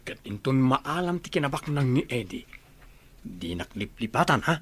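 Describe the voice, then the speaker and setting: A man plays an impatient accomplice in an audio drama. His mid-pitched voice is husky and moves around a lot in pitch. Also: very animated, normal speed, a bit bright. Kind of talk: Filipino audio drama acting.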